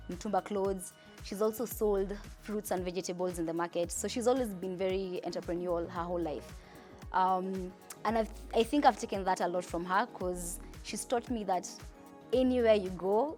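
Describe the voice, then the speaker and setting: A young Kenyan woman with a Kenyan accent, telling her life story in a chatty, animated interview. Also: on the low side. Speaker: entrepreneur.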